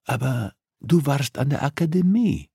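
A man's voice: medium pitch, animated, a little slow, gravelly, dark tone, husky, no echo, clean studio sound, voice pushed up, heavily acted. A man narrating an audiobook, voicing a coolly persuasive, threatening female criminal who interrogates with insistence and condescension. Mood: probing, smug.

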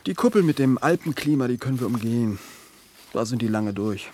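A man playing a hesitant demolition worker in a naturalistic radio play, speaking haltingly. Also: mid-range voice, lively intonation, a little mellow, natural voice. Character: hesitant male demolition worker visiting animal park.

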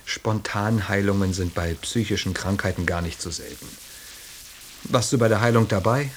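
Guy playing a rational doubter in a radio drama, kinda low voice, dry and pointed, slight tape hiss. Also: normal speed, lightly acted. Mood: sceptical. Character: rational, sceptical man challenging a believer.

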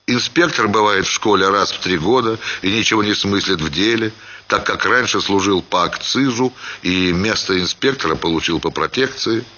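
Male narrator for literary prose: low, bright voice, theatrical phrasing, slightly dull recording. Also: moderate pace. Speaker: actor reading a short story. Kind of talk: expressive scripted literary narration.

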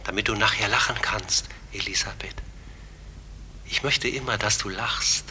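A man playing a gentle, mysterious talking raven in a radio play, speaking in sparse, solemn, cryptic phrases. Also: lowish voice, moderate pace, noisy background, close to an everyday voice. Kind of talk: German radio play acting. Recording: long reverberant hall, music behind.

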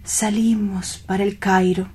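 A dreamy, heartsick romantic man played by an actor in a radio play. He speaks slowly and softly, often sinking to a breathy half-whisper, with intense, wistful lyricism. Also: high-pitched, little pitch movement, exaggerated voice. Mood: dreamy nostalgia. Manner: lyrical, wistful, drawn-out reminiscing.

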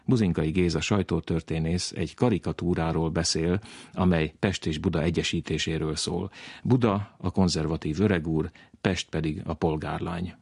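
A man's voice: deep voice, steady tone, gravelly, darkish tone, slightly rough, no echo, studio quality. A man presenting a radio programme with scripted narration that links the interviews.

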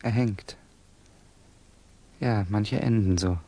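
An actor playing a bitter, guarded, touchy young Russian student in a 1960s German radio drama, with clipped, defensive questioning. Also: male voice, lowish voice, slow pace, warm tone. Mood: tense, guarded.

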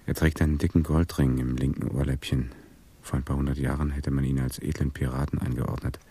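A man's voice: very deep voice, level tone, fairly quick, mellow, husky. An actor playing a sombre male investigator in a German radio crime drama.

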